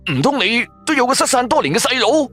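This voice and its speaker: A man voicing a cheeky, curious sidekick in a Cantonese radio drama, excitable and teasing, with a Cantonese accent. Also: very high-pitched, fast talker, faint music behind, voice pushed up, full character voice. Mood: teasing surprise.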